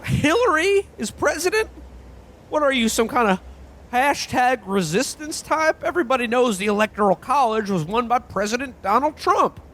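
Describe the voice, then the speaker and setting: A man's voice: very high-pitched, expressive, normal speed, fairly bright tone, full character voice. A man playing a bewildered, frantic everyman in a radio-play parody, stammering and full of old-fashioned folksy exclamations. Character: frantic, bewildered everyman in an alternate Christmas reality.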